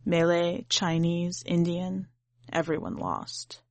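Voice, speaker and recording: A woman's voice: on the low side, expressive, slow pace, no room sound. A woman voicing a plain-spoken, mournful old grandfather in a storybook, terse and colloquial.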